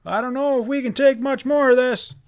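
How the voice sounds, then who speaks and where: A man's voice: very high-pitched, steady tone, fairly quick, smooth, pitched above the performer's own voice. A man voicing a weary, practical country father in an audiobook, calling out as if over a storm. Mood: weary, worried. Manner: raised, shouting over wind.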